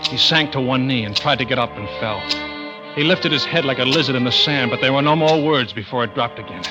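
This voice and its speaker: An actor playing a tough, terse pilot who narrates a crime thriller in old-time radio suspense style. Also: male voice, medium pitch, fairly quick, lightly acted. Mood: grim. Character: hard-boiled male pilot narrating his own crime thriller. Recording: dull vintage radio recording under loud music.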